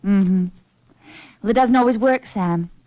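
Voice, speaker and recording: A woman's voice: mid-range voice, unhurried, no echo, natural voice. An actress playing a wry, teasing woman in a 1940s radio drama. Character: teasing woman in love with a detective. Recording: dry, dull old radio broadcast.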